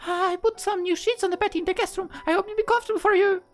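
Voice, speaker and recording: A woman's voice: very high voice, fairly even intonation, fast, voice pushed up. A woman voicing a flustered, caring teenage schoolgirl in a visual novel, stammering and twitchy, with music behind her. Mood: flustered, tender. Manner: stammering, twitchy when embarrassed. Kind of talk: visual novel character dialogue.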